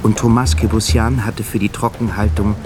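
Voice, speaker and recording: Low-voiced male radio play narrator over music. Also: flat delivery, moderate pace.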